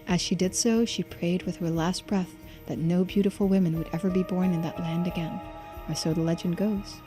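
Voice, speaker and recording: A woman streaming a game, reading its text aloud in a measured way with muttered asides and small stumbles. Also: fairly low pitch, fairly even intonation, over music.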